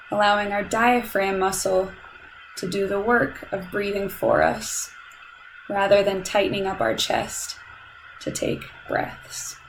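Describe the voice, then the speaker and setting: Woman, meditation guide, calm and soothing, unhurried slow delivery, measured phrases, long pauses, faint background noise. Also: medium pitch, low-quality recording. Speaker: meditation guide, lab instructor.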